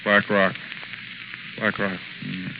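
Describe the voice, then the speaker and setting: Casting: a lowish-voiced drowsy watchman, guilty and mumbling in his sleep, muffled 1930s radio serial. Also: completely flat delivery, creaky, a little hiss or hum. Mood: drowsy, troubled. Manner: mumbling, halting, groaning. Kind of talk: 1930s radio drama acting.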